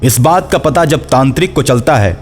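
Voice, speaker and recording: Mid-pitched male storyteller over faint music. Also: lively intonation, moderate pace. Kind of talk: scripted Hindi story narration.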